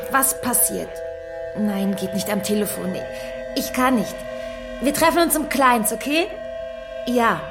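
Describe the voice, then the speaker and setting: A woman acting an impatient, privileged young granddaughter in a naturalistic German radio drama. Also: on the high side. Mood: shaken, urgent.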